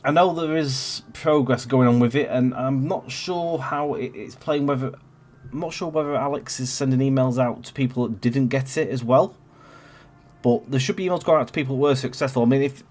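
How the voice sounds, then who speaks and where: Man, congested from a cold, casual stream host, rambling with fillers, mid-pitched. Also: a little fast, darkish tone. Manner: rambling, chatty, with many fillers and restarts. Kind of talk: casual gameplay livestream chat.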